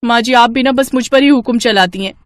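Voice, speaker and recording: A woman's voice: fairly high pitch, brisk, no room sound, lightly acted. A woman voicing a lazy, whining, defiant young daughter-in-law in a cartoon family story. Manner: whiny, complaining.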